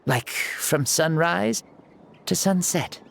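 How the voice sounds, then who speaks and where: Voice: medium pitch, slow, vocal fry, a little hiss or hum. An actor playing an ancient warrior god in a borrowed boy's body, playful, rambling and grandiose, in a video game. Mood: jaunty, chatty. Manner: theatrical, rambling storyteller, jaunty and boastful. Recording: music behind the voice.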